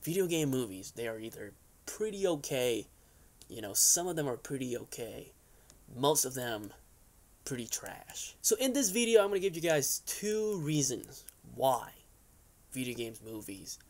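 A man's voice: mid-range voice, sing-song, unhurried. A young man talking in a casual, rambling opinion vlog, tagging his points with little check-in questions. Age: young adult. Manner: conversational, rambling, frequent "right?" and "you know" tags. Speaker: YouTube host. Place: furnished basement room, indoors.

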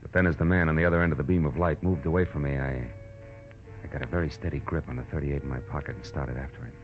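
Very deep hard-boiled radio detective. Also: male voice, fairly even intonation, quick pace, music in the background. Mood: tense narration. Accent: American. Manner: hard-boiled, clipped wisecracking. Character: tough, self-confident male private detective narrating his case. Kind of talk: 1940s-50s American radio detective acting and narration. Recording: muffled, noisy old-time radio recording.

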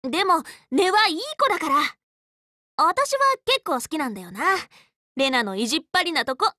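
Anime game role: teenage magical girl, loyal tomboy leader, bright forceful tone, very lively intonation, dry close studio sound, frank and casual. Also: high voice, moderate pace, no echo, full character voice. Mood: fond. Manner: casual, boyish, spirited, frank speech. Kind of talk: anime game character voice lines.

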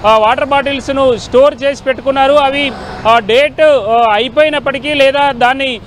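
A middle-aged man giving a halting live news report into a handheld microphone outdoors. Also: very high-pitched, fairly even intonation, fairly bright tone. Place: busy city roadside, outdoors. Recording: faint outdoor street noise. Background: faint traffic.

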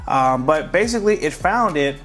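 A man hosting a tech tutorial, calmly narrating each step of a device reset in a casual, friendly way. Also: on the high side, normal speed, faint music behind. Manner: friendly, relaxed, walks viewers through each step.

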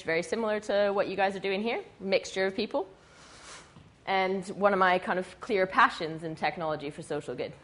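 A woman from western Canada with a scratchy, husky voice, giving a chatty slide presentation with many ums, recorded from a camera across a dim room. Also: on the low side. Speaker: guest speaker. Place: dim meeting room with projector. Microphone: far camera.

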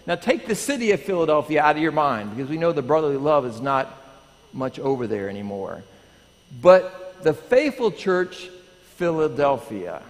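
Animated man preaching in reverberant sanctuary. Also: fairly high pitch, normal speed. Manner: animated, pacing the stage, asking the congregation. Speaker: pastor. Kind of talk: lively sermon with prayer. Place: large church sanctuary. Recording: long church hall reverb.

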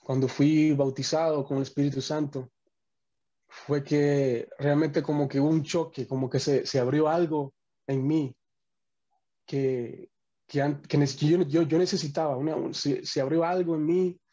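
A man's voice: on the high side, steady tone, a little slow, mellow, dull audio. A young man from the Dominican Republic, a worship musician, talking earnestly over a video call and often restarting his sentences. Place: small home room.

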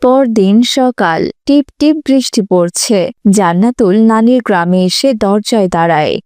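A woman's voice: mid-range voice, animated, unhurried, darkish tone, clear, resonant tone, no echo, studio quality. A woman narrating a scripted moral story for a cartoon.

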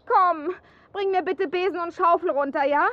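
Very high-voiced, exasperated mother. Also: moderate pace, no room sound, dull audio. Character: exasperated but kind mother. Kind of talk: children's radio play acting.

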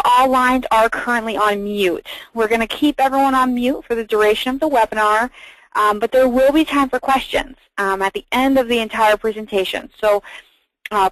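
A woman's voice: a little high, no echo, poor audio. A woman moderating a webinar, reading housekeeping notes and speaker introductions in a careful, scripted way with small restarts.

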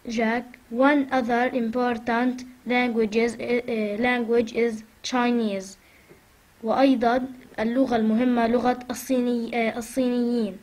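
A woman teacher with an Arabic accent, a native Arabic speaker, reading a lesson aloud carefully and translating it, halting at times in English. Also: a little high, flat delivery, darkish tone, low-quality recording.

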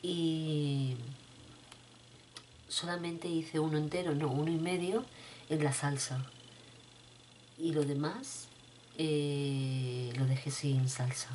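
Low-voiced woman with a cold, chatting. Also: fairly even intonation, takes it slowly, pauses often, a little mellow, slight background noise. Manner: chatty, repeats herself, addresses viewers fondly. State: has a cold, rough throat. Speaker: vlogger. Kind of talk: casual chat to viewers. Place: home room, indoors. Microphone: webcam at desk.

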